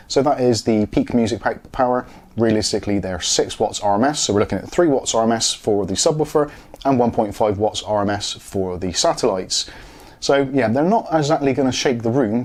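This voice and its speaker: A man's voice: mid-range voice, darkish tone. A man explains a product in a plain, matter-of-fact way, as in a casual video review. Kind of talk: casual tech review narration. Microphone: lapel mic.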